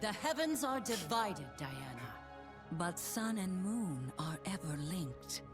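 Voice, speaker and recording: Devout celestial warrior, grave, formal, declamatory, video game lines, clear music bed. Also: female voice, medium pitch, very animated, takes it slowly. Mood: resolute. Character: solemn, devout warrior women of sun and moon.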